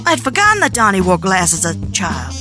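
A woman's voice: medium pitch, wildly swooping pitch, a little fast. The blunt best friend in a cartoon dub, a woman acting, wry and exasperated, lilting widely over music. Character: sassy, exasperated young woman. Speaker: voice actor in a comic dub. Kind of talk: animated comic dub acting. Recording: music behind the voice.

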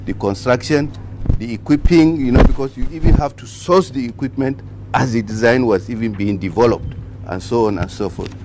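A man giving a formal, measured on-site briefing about a building project. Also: fairly low pitch. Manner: formal, measured explanation with fillers. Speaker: project contractor briefing officials. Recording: outdoor traffic noise.

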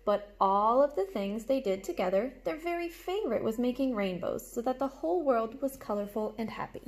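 A young adult woman reading a storybook aloud to children like a teacher, with animated, explanatory asides, recorded in a room at home. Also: on the high side, brisk. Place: small room at home, indoors. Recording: clear background noise, room ambience.

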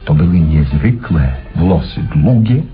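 An actor playing an eccentric, obsessive male inventor in a radio play, telling his story in a theatrical, halting way with dramatic pauses. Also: low-pitched, expressive, faint music behind. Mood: reminiscing. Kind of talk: Polish radio play acting. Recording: dull old radio drama recording.

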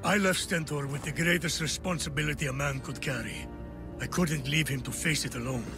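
An actor playing a middle-aged Greek warrior and father in a video game, grave, measured and earnest, full of regret. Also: fairly high pitch, music in the background, close to an everyday voice. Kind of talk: dramatic video game cutscene acting.

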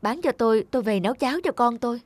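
Woman as middle-aged villager, fairly high voice, pushy, blunt, scornful, animated folk tale, music behind. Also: fast, no room sound, lightly acted. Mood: coaxing, insistent. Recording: music under the voice.

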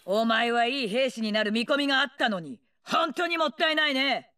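An actress voicing a brash, bloodthirsty older female warlord in a video game, with rough slangy taunts, a snarling delivery and mocking, gleeful menace. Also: fairly high pitch, lively intonation, a little fast, pushed and bright. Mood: scornful taunting. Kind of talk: video game character voice lines.

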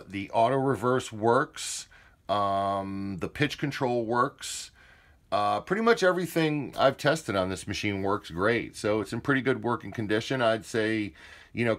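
A man's voice: on the low side, fairly bright tone. A man talking casually and matter-of-factly as he gives an unscripted product demonstration. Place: indoors, off camera beside a tape deck. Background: music.

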